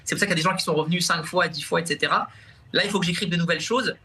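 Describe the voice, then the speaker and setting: A man's voice: fairly high pitch, pushed and bright. A young man, a comedian guest on a TV talk show, speaking casually with many restarts and repeated words. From France. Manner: halting, repeats words, laughs often. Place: TV studio.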